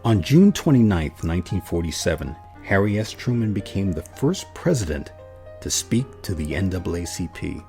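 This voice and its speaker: Male narrator, deep pitch, dark mellow timbre, scripted documentary read, light music behind. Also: sing-song, moderate pace.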